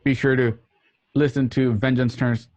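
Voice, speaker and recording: A man's voice: medium pitch, flat delivery, normal speed, a little mellow, no echo, lo-fi sound. A man hosting an online radio play show, signing off to listeners in a casual, jokey, rambling way over a group call. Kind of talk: casual, chatty sign-off to listeners. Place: remote group call from home.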